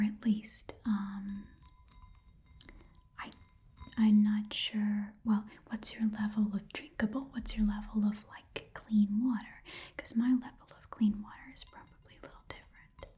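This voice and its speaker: A woman voicing a friendly, odd little goblin pawnshop keeper in a soft whispered ASMR roleplay, chatty and hesitant. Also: medium pitch, level tone, a little slow, lightly acted. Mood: chatty, musing. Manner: hesitant, rambling, chatty, quirky politeness. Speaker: ASMR performer.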